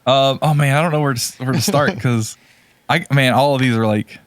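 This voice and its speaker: A man's voice: medium pitch, brisk. A man co-hosting a podcast in casual chat, rambling and often hesitating and correcting himself as he goes. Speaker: podcast co-host.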